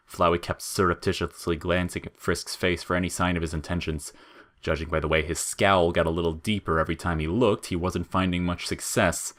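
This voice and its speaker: A man narrating scripted audio fiction in a measured storytelling manner. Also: low-pitched, fairly bright tone.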